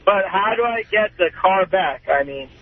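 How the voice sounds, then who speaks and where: A man's voice: sits high, animated, normal speed, no room sound. A man calling in to a radio show, chatting hesitantly with stammers and unsure questions. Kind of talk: hesitant casual phone chat. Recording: tinny, noisy phone line.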